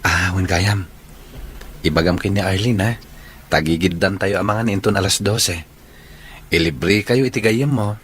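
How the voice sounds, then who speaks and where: A man plays a young village suitor in a radio play, shy and polite. His voice is fairly low and easygoing, over faint background noise. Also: normal speed, long pauses, fairly bright tone. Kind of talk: audio drama acting.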